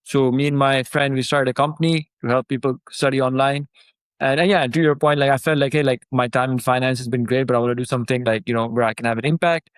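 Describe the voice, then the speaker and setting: A man's voice: medium pitch, fast, smooth, no echo. Casual man chatting from a dry mic. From Pakistan. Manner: relaxed and conversational, many fillers, animated when excited. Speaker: startup founder guest. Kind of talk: casual interview chat.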